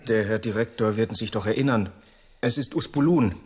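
An actor playing a fussy, defensive horticulture teacher in a radio drama. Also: male voice, lowish voice, moderate pace, a little mellow, breathy edge. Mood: precise, explaining. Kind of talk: German radio drama acting.

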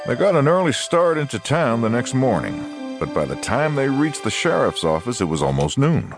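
A man's voice: medium pitch, huge pitch swings, normal speed, music in the background. A man reading scripted narration for a dramatized western audiobook.

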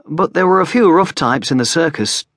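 A man's voice: fairly high pitch, expressive, moderate pace, fairly bright tone, no echo, clean studio sound, voice pushed up. A man voicing a wary, courteous injured young traveller in an audiobook, speaking hesitantly and politely. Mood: earnest, grateful. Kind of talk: audiobook character voice.

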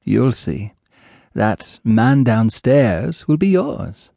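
A man's voice: medium pitch, sing-song, very slow, gravelly, warm tone, no echo, pitched above the performer's own voice, exaggerated voice. A man reading an audiobook, voicing an elderly female ghost witch who is doting, nosy and fond of calling people dearie. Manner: fluttery, motherly, teasing, calls others dearie.

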